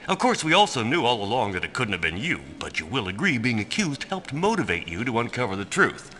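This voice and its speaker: Smug spy boss, bright voice. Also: male voice, mid-range voice, very animated, brisk. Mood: smug, congratulatory. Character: smug, glib male spy agency boss. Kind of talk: video game voice acting.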